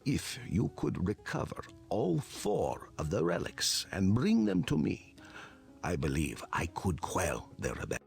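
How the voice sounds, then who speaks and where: A man's voice: sits low, huge pitch swings, measured pace, creaky, faint music behind, exaggerated voice. An actor playing a courteous, earnest medieval nobleman in a strategy game, speaking formally. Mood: weary, earnest. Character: grave, courteous medieval male lord's envoy, pleading for aid. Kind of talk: scripted game dialogue.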